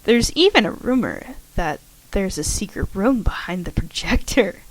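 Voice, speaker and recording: A woman's voice: medium pitch, expressive, moderate pace, no echo, natural voice, poor audio. A woman voicing a friendly, teasing young college student with a playful, upbeat manner.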